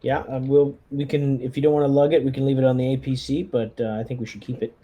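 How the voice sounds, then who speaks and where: A man's voice: mid-range voice, little pitch movement, quick pace, dull audio. A man talking casually as a player who leads the squad in a tabletop roleplaying game.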